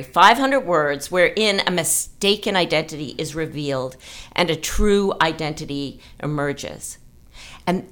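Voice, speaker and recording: A woman's voice: fairly low pitch, a bit bright. A woman hosting a conversational literary podcast, explaining ideas and asking leading questions. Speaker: podcast host.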